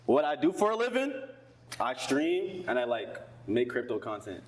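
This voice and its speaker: A man's voice: mid-range voice, big pitch swings, normal speed, slight background noise. A man in a video clip giving a rambling, slangy, joking self-introduction. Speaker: dating contestant in a clip.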